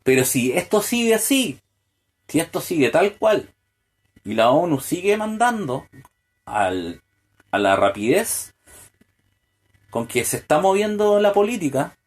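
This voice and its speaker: A man's voice: on the high side, sing-song, unhurried, long pauses. A man delivering a solo political podcast monologue, full of hesitant fillers and restarts, building to pointed rhetorical questions. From Chile. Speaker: podcast host.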